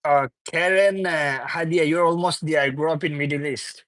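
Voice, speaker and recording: Young male streamer, slightly high voice, from Bangladesh; loose, chuckling banter with chat, dry close sound. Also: steady tone, normal speed, fairly bright tone, no echo. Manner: chuckles often, reads viewer comments aloud; loose, repetitive, teasing banter. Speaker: livestream host. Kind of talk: casual live chat with viewers.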